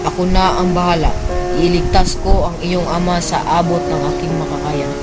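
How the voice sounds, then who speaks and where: A young man acts out a brave Filipino army general in a radio drama. His voice is high for a man, and his delivery is formal and courteous, with music playing behind him on an amateur recording. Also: level tone, normal speed, lightly acted. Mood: resolute. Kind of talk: Tagalog radio drama acting.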